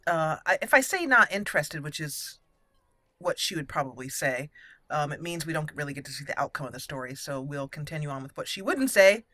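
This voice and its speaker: Bright-voiced woman doing game commentary. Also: low voice, lively intonation, brisk, no echo. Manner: chatty, giggly, thinking aloud. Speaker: gaming video host. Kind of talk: casual roleplay game commentary.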